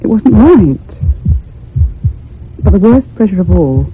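An actress playing a thoughtful, troubled young woman in a radio ghost drama, speaking in a grave, measured, haunted way. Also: medium pitch, sing-song. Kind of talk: old-time radio drama narration. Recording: muffled phone-like radio recording with hum.

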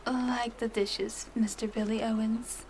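A woman plays a poised young assistant with a slightly high voice who whispers softly in a formal, teasing way. Her speech stays prim and courteous. A clear ambience hiss runs under her voice. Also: little pitch movement, measured pace, poor audio. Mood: polite whisper. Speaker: personal assistant to the listener. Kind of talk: ASMR audio roleplay.

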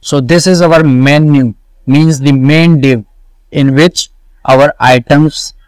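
A man walking through a coding tutorial, slowly repeating each step and answering his own questions. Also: fairly high pitch, steady tone, darkish tone, clean tone, no room sound, rough recording. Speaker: web development tutor. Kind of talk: screen-recorded coding tutorial.

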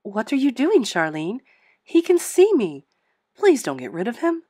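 A woman's voice: on the high side, wildly swooping pitch, unhurried, mellow, dry recording, clean studio sound, voice pushed up, full character voice. A woman voicing a teasing, dramatic male ghost in a storybook, with a taunting manner. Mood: indignant, hurt.

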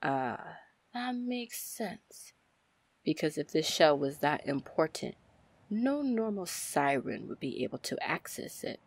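A woman playing a confident, commanding, flirty female pirate captain in an ASMR fantasy roleplay, breathy and deliberate. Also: sing-song, exaggerated voice. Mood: wry, probing. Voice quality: breathy, low, husky. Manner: slow, deliberate, smooth-talking. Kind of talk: ASMR roleplay acting, fantasy audio drama.

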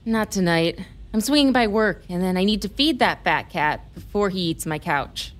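A woman's voice: mid-range voice, expressive, normal speed, close to an everyday voice. A woman playing a wry, harried TV news reporter in an audio drama, sardonic and casual. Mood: teasing, affectionate. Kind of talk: naturalistic audio drama acting.

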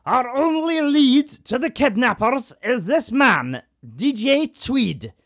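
A man's voice: very high-pitched, big pitch swings, slow pace, a bit bright, heavily acted. Male actor as policeman, keen and solemn, spoof vintage radio acting, muffled old-wireless sound. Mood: earnest, solemn. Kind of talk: parody of 1950s radio drama acting.

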